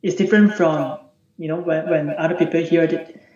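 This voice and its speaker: A man with a high-pitched, youthful, unbroken voice, recounting his situation in a repetitive, conversational way as a client on an online call. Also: steady tone, normal speed, darkish tone. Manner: repetitive, says "you know" often. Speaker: client, accountant. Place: remote video call. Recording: echoey, muffled online call with background chatter.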